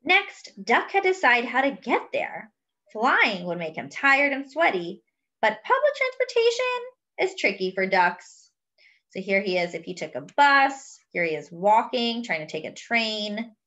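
A woman reading a children's picture book aloud expressively, pausing to explain words and pictures as if to young children. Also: a little high, sing-song, fairly bright tone, highs cut off. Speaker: host of a children's read-aloud.

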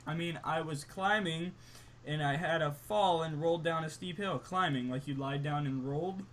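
A young man with a forceful, bright voice on the higher side swaps stories casually while gaming. His delivery is animated and incredulous, and faint noise sits behind him on a home mic. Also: normal speed. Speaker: gaming story host. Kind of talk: casual banter over online game.

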